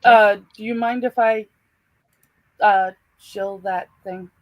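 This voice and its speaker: A woman's voice: medium pitch, fairly even intonation, slow pace, no room sound. A woman chatting casually as a co-host on a stream. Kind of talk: casual chat.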